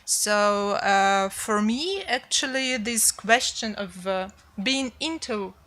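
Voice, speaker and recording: A translator speaking English on a panel, thoughtful and halting with many fillers. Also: female voice, medium pitch, expressive, sharp tone. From Ukraine. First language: Ukrainian. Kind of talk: panel discussion.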